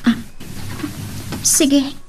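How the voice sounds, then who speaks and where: A woman acting a dutiful, soft-hearted young granddaughter in an Ilocano radio drama, with an Ilocano accent. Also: extremely slow. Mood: emotional.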